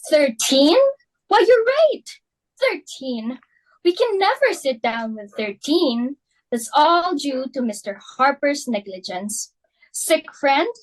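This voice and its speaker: A young woman reading the part of an excitable, fashionable society matron hosting a dinner party, fussy and emphatic. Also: high-pitched, heavily acted, poor audio. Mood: fussing. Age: young adult. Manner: fussy, emphatic, quick to exclaim. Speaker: reader for Mrs. Pringle. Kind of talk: student dramatic reading.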